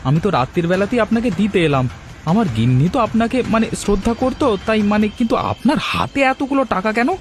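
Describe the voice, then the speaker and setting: An actor voicing an excitable, humble village helper who talks hesitantly in a cartoon story. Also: male voice, high voice, a little fast, a little hiss or hum. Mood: suspicious, excited. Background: background music.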